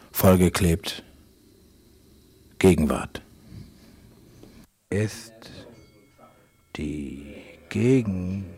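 A man with a very low, husky voice speaks a literary monologue for radio. He moves slowly through short, halting fragments, leaving each phrase on its own. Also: darkish tone. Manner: terse, halting short phrases, sometimes dropping to a whisper.

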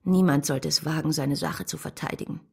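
An actress playing a principled, grieving woman who tells her story with measured restraint in a German radio drama, in a breathy voice. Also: low-pitched, steady tone, exaggerated voice. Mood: cursing. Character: Caroline, a grieving, principled woman narrating bitterly, devoted to her brother.

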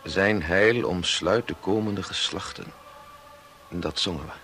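A man's voice: deep voice, unhurried, pushed and bright, a little hiss or hum, full character voice. An older actor playing a devout, reflective grandfather who narrates his family's story earnestly and with measure in a 1960s radio drama. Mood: moved, devout.

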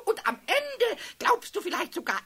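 An actor playing a cheeky, proud little male kobold in a radio play, with a squeaky voice and a stammering, indignant, theatrical way of talking. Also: huge pitch swings, moderate pace, bright, forceful tone, full character voice. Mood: mocking. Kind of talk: 1970s radio play acting.

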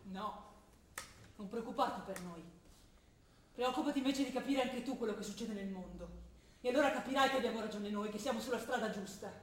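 Actress as a young militant woman, high voice, roomy stage. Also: fairly quick, long pauses, close to an everyday voice. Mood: insistent, defiant. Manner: insistent, declamatory. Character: young militant daughter, earnest, ideologically convinced revolutionary. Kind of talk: stage drama acting, declaimed political proclamation. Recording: roomy stage sound with music behind.

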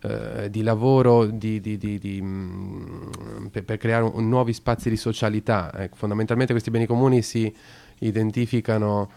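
Gravelly low-voiced radio co-host. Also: male voice, steady tone, moderate pace, mellow. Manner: hesitant, lots of fillers and restarts. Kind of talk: radio talk explaining a topic.

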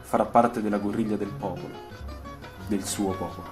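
A man's voice: fairly low pitch, fairly even intonation, normal speed. Man narrating solemnly over music. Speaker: storyteller. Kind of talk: scripted dramatic radio narration. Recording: music behind the voice. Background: music soundtrack.

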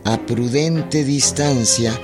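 A man's voice: mid-range voice, slow. A man with a Mexican accent narrating a scripted historical radio drama. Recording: loud music behind.

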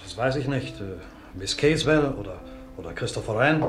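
A man plays a nervous guesthouse keeper in an old radio mystery. His mid-pitched voice is hesitant and flustered, with faint music in the recording. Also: expressive, moderate pace, fairly bright tone, close to an everyday voice. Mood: uneasy. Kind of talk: 1950s German-language radio mystery acting.

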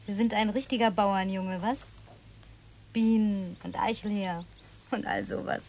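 A woman's voice: mid-range voice, fairly even intonation, a little slow, gravelly, darkish tone, slight background noise. An actress playing a worldly, wry, indulgent city woman in a German radio play, with a teasing, cool, conversational manner.

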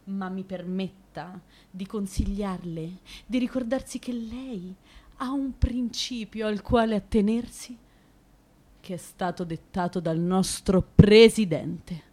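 A woman acting a cynical, domineering political fixer in a radio play, with a deliberate, lecturing manner. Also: mid-range voice, a little mellow. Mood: commanding.

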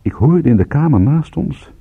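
An actor playing a world-weary, sardonic private detective with a husky voice in a radio play. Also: male voice, a little high, sing-song, normal speed, phone-quality sound, exaggerated voice. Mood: brooding narration. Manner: wry, sardonic, laconic. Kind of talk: radio drama acting with first-person narration.

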